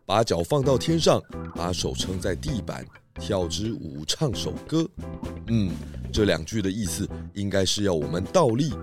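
A man playing a confident, thoughtful pig detective in a children's audio drama, explaining clues deliberately. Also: low voice, sing-song, over music.

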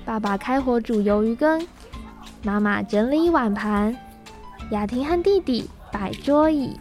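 A woman's voice: fairly high pitch, animated, unhurried, darkish tone, faint music behind. A young woman reading scripted narration for a children's radio drama. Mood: narrating. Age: young adult. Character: storyteller of a children's family tale.

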